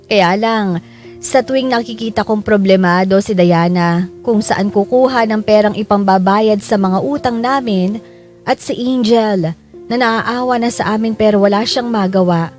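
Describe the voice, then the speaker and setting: A woman's voice: mid-range voice, darkish tone, lightly acted. A woman narrating a radio drama in the first person as a troubled, debt-burdened parent, reflective and confessional.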